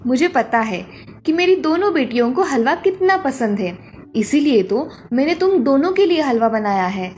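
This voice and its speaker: A woman's voice: sits high, very animated, moderate pace, darkish tone, a little hiss or hum. A woman voicing a caring mother and her college-age daughter in a dramatised cartoon story. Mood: caring, gentle.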